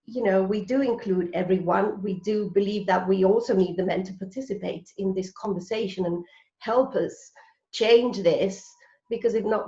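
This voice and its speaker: A woman's voice: mid-range voice, vocal fry, darkish tone. A woman hosting an online interview show in an enthusiastic, effusive way over a video call. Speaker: host. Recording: roomy, dull video call audio.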